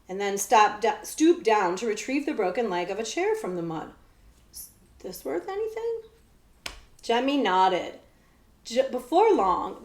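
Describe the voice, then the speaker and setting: Woman reading aloud in an echoey room. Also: medium pitch. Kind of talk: children's storytelling with casual explanations.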